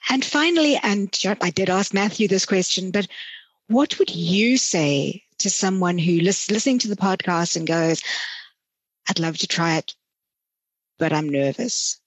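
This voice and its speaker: A woman's voice: fairly low pitch, lively intonation, moderate pace, husky, no echo. A woman, hosting a conversational podcast interview. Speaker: podcast host.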